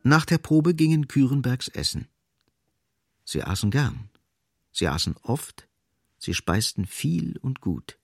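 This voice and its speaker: A man narrating a literary radio drama in a measured, deliberate, emphatic way. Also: medium pitch, big pitch swings, creaky, no room sound.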